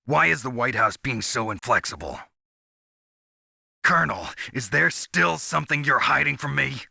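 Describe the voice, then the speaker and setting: An actor playing a gruff, sardonic special-ops soldier in a video game, terse and world-weary with a gravelly, husky voice. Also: male voice, mid-range voice, animated, moderate pace, pushed and bright, no room sound, clean studio sound, exaggerated voice. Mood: questioning, tense.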